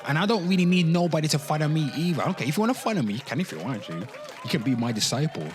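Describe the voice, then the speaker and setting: A man's voice: on the high side, very animated, rapid-fire, noisy background. A man hosting an online show, mixing casual, rambling, slangy commentary with dramatic reading aloud and repeating phrases for emphasis. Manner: slangy, frequently swearing, addressing listeners as people.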